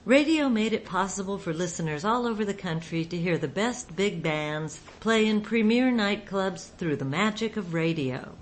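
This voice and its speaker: A woman's voice: mid-range voice, expressive, moderate pace, few pauses, a little hiss or hum. A woman hosting a radio show, reading a scripted introduction to a vintage program.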